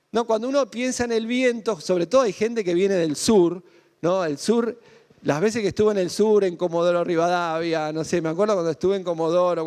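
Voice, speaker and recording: An Argentine man with a Rioplatense accent preaches to his congregation in a loose, conversational way, stopping often to ask questions. His voice sits high for a man. Long reverb trails after his words, as if he is in a large hall. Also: darkish tone. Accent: Argentine Spanish (Rioplatense). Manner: conversational, rhetorical questions, frequent "¿no?" tag. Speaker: pastor. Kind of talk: sermon.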